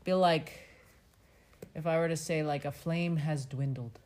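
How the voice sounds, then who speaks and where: A woman's voice: low-pitched, steady tone, a little slow, background noise. A woman casually discussing scripture, conversational and checking in with questions as she explains. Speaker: family scripture discussion co-host.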